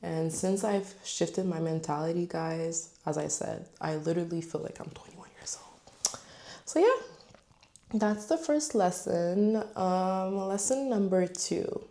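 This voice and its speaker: A woman's voice: fairly low pitch, measured pace, vocal fry, mellow. A woman in her twenties talking candidly to camera on her own channel, chatty and full of fillers. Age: 20s. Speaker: vlogger. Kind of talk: casual talk to camera.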